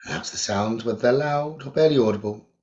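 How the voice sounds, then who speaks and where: A man of middle pitch leads a guided meditation, slow and soothing, repeating phrases and pausing often. The recording sounds dull and lo-fi. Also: a little mellow. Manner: slow, soothing, repetitive instructions with long pauses.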